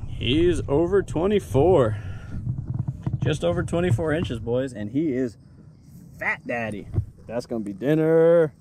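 Guy on a fishing boat yelling reel reel to his kids, all hyped up, lots of wind and engine noise. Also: a little high. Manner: excited, rapid instructions, repeats himself. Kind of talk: casual coaching while filming. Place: small boat on open water outdoors. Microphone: action camera on the boat.

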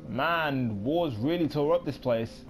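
A man's voice: mid-range voice, slow pace. Young guy rambling through a let's play, lots of ums, keeps saying sorry, bit of music behind. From United Kingdom. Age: young adult. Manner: rambling, many ums and restarts, apologetic. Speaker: gaming YouTuber. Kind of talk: casual let's play commentary.

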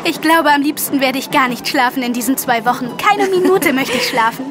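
A woman playing a polite, dreamy, excited little girl in a radio drama. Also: high-pitched, a little fast, crowd noise, natural voice. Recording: background vehicle noise.

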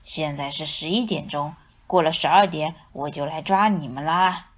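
一位女性在童话绘本里扮演阴险贪吃、语带威胁和低吼的野狼爸爸。. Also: female voice, sits low, moderate pace, voice pushed down. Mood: menacing. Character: menacing male father wolf, hungry and sly.

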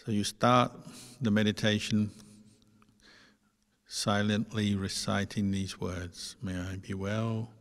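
A man guides a meditation in a low, very even voice. He speaks slowly and gently, leaving long pauses between short phrases. The sound carries a long reverb, as in a large hall. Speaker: Buddhist monk leading guided meditation.

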